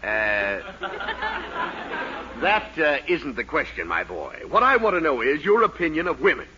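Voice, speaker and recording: Pushy, high-pitched newspaper reporter, bright and brash. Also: male voice, big pitch swings, unhurried, a faint crowd, heavily acted. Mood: eager, probing. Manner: brash, declaiming, insistent. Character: pushy, fast-talking male newspaper reporter twisting words. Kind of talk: 1940s radio comedy acting. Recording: muffled, noisy 1940s radio recording.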